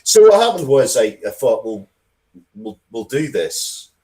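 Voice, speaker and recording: A man's voice: medium pitch, big pitch swings, unhurried, mellow. A man chatting casually as an interview guest, rambling and often breaking off to restart his sentences. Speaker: interview guest, comics and game writer.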